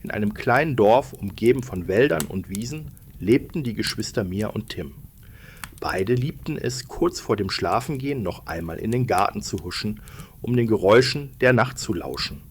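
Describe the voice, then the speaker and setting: A man's voice: on the low side, expressive, normal speed, slight background noise. A man narrating a scripted bedtime story for children, explaining things and addressing them directly.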